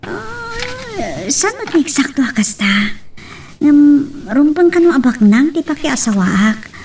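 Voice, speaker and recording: A gentle young sweetheart, acted by a woman in radio-drama style, high-pitched and Ilocano-accented. She sounds a little away from the microphone. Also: sing-song, takes it slowly, far from the mic, slight background noise, full character voice. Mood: hesitant.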